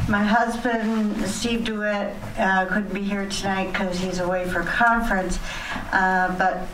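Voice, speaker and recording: Lady giving public comment, slow and hesitant, bit far from the mic, noisy room. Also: mid-range voice. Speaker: resident addressing a town board at a public meeting. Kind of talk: public comment, partly prepared. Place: community center meeting hall. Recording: echoey, distant, noisy meeting-hall recording.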